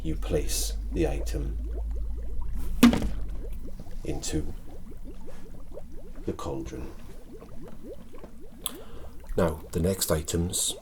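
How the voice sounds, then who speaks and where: A man with a Welsh accent voicing a scheming old alchemist in an ASMR roleplay. He speaks softly in archaic thee-and-thou speech and sometimes slips into whispers. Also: rumbling low, exaggerated voice. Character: scheming old male court alchemist, wretched and sinister.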